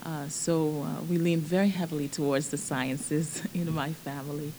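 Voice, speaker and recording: Woman, forties, surgeon guest, low voice, warm tone, animated. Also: normal speed, slight background noise, low-quality recording. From Bahamas. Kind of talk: conversational interview answers.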